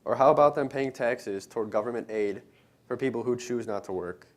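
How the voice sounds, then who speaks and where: Young guy reading a script out on stage, kinda earnest and careful, average pitch. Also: brisk. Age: young adult. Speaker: student presenter in readers theater. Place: college library event, live on stage.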